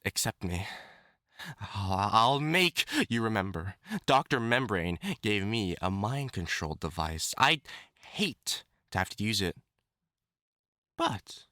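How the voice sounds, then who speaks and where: Man acting a bright-voiced, obsessive lovesick supervillain. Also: lowish voice, dry recording, clean studio sound, heavily acted. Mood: anguished pleading, then quietly threatening. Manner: pleading, stammering, theatrical endearments. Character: obsessive lovesick male supervillain with fur, desperate and menacing. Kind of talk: dramatic audio roleplay, intimate monologue to listener.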